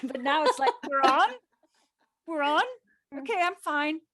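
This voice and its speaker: Lady moderator, voice a bit high, reading intro stuff theatrically w/ jokey asides at her own expense. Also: animated, unhurried, fairly bright tone. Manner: playful, self-deprecating, theatrical emphasis. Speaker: moderator of a cast panel. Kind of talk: scripted introduction with joking asides.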